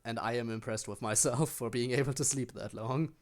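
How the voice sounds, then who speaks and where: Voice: medium pitch, fairly quick. A game streamer chatting casually to viewers while playing, wandering off into rambling asides.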